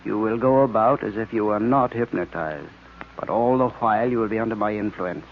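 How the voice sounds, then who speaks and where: Actor as a low-voiced, commanding villainous hypnotist. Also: male voice, lightly acted. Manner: slow, deliberate, domineering. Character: commanding male villain, a sinister hypnotist mastermind. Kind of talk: old-time radio serial acting. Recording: muffled, noisy vintage radio recording.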